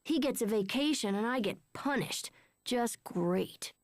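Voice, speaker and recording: A woman's voice: a little high, unhurried, no room sound, studio quality. A woman playing a brash, headstrong young boy with a caring big-brother streak in a video game dub. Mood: sulky, defiant. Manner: casual, lively, impulsive. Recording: music under the voice.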